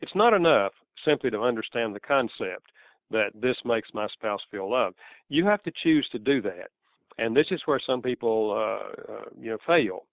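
A man's voice: fairly low pitch, expressive, normal speed, creaky, dry recording. A man with a Southern US accent, an author answering interview questions in an earnest, explanatory way, chuckling now and then. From American South. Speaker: author guest. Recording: tinny phone-like line, dry.

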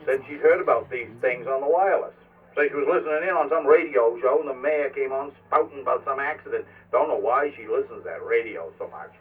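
A man's voice: mid-range voice, fairly quick, husky, natural voice. An actor playing a cocky, stubborn lobsterman with a folksy, drawling in a radio play. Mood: boastful, grumbling. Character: cocky, stubborn old-salt Maine lobsterman drinking in a bar. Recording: muffled phone-like line, far from mic.

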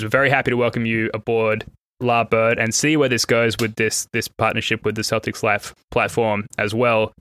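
Bright-voiced Australian man hosting a podcast. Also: on the low side, clear, resonant tone, no echo. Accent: Australian with a slight American tinge. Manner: chatty, self-deprecating, laughs easily. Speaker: podcast host. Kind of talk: casual podcast chat.

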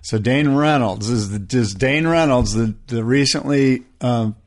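A man's voice: mid-range voice, unhurried. A man co-hosting a sports talk podcast in casual, slangy banter, jokey and given to acting out imagined quotes. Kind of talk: casual banter about pro surfing.

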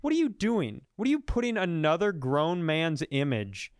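A man's voice: a little high, wildly swooping pitch, moderate pace, clean tone, dry recording, clean studio sound. An American man co-hosting a comedy podcast, ranting casually in a blunt, profane, mocking way.

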